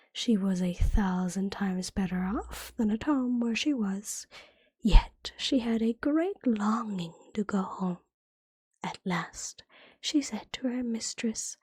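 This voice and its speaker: A woman reading a fairytale as ASMR in a soft, breathy whisper, gently and carefully, like a bedtime storybook. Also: a little high, no echo.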